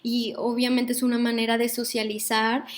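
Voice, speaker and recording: Mexican woman teaching a workshop, kinda high voice, lots of pauses and "right?" checks. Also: flat delivery. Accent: Mexican Spanish. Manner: thoughtful, frequent "¿no?" tags and hesitations. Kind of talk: online workshop lecture.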